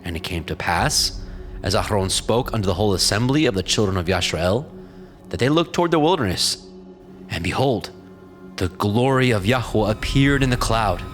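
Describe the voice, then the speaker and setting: A man's voice: on the low side, expressive, normal speed. A man narrating scripture from a script in a solemn, formal storytelling manner. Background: prominent music.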